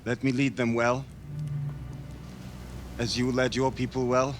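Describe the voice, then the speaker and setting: An actor playing a vengeful young Viking war leader in a video game, grave and oratorical in delivery. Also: male voice, mid-range voice, little pitch movement, music in the background, lightly acted. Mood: grave, pleading. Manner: slow, solemn, oratorical delivery. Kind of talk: dramatic cinematic game acting.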